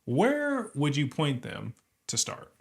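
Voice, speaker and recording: A man hosting an interview, asking questions in a relaxed, unhurried way with some filler sounds. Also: fairly high pitch, gravelly. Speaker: interview host.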